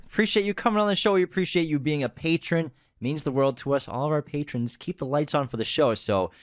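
A man's voice: fairly high pitch, fast, dry recording. A man co-hosting a casual movie podcast, enthusiastic as he runs the quiz games. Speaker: podcast co-host.

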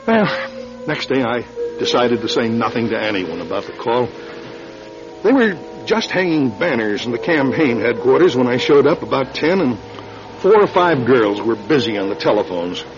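A man's voice: mid-range voice, sing-song, moderate pace, over music, close to an everyday voice. An actor playing an anxious, defensive male political newcomer in a radio drama, hesitant and agitated. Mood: uneasy recounting. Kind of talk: radio drama acting with first-person narration. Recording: dull old radio recording.

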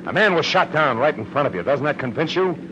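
An actor playing a wry, pushy hard-boiled private detective in 1940s radio noir style, with an American accent and clipped, tough slang. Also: male voice, a little high, animated, quick pace, lightly acted. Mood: pressing. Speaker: lead detective and narrator. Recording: muffled, noisy old radio recording.

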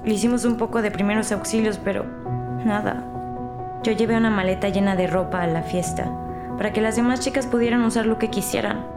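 Woman acting earnest teenage girl over loud music. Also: mid-range voice, steady tone, a little fast, close to an everyday voice. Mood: distressed, pleading. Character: distressed, protective teenage girl confessing to police. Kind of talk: scripted audio drama.

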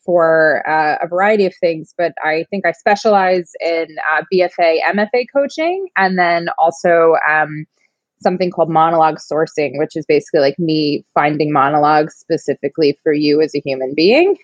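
A woman's voice: low voice, moderate pace, no echo, muffled recording. A woman from New York, an acting coach, chatting casually as a guest with lots of fillers. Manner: relaxed, many fillers and restarts. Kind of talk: casual interview chat.

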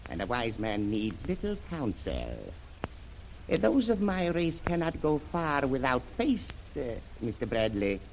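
Formal, shrewd Chinese magnate, vintage radio. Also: male voice, medium pitch, wildly swooping pitch. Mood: grave, courteous. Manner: formal, measured, proverb-laden, dropping articles. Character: courteous, shrewd Chinese shipping magnate, speaking in wise sayings. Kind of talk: old-time radio adventure acting. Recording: noisy vintage radio drama recording.

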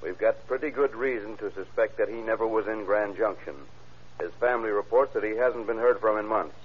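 An actor playing a blunt, sardonic, sceptical police interrogator in an old-time radio crime drama. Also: male voice, on the low side, a little fast, husky. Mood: stern, pressing. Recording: muffled vintage radio recording.

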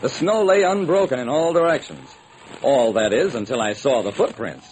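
A man's voice: mid-range voice, animated, normal speed, darkish tone, natural voice. An actor playing a hard-boiled private detective, narrating his case with dramatic, wry deliberation in old-time radio style. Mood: wry storytelling. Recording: noisy old radio recording.

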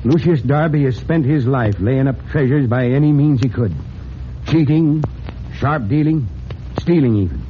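A man acts out a fatherly American fire chief in an old radio serial. He is grave and authoritative, choosing his words deliberately at a medium pitch. The recording is dull and somewhat noisy. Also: lightly acted. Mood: grim. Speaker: radio drama actor. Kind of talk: old-time radio drama acting.

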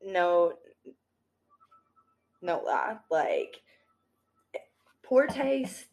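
A woman's voice: lowish voice, very slow, creaky, low-quality recording. A woman, a thrift and sewing guest talking casually with lots of "like" and "yo.". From Tennessee. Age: 30s. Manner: slangy, animated, peppered with "like" and "yo". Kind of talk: casual podcast conversation.

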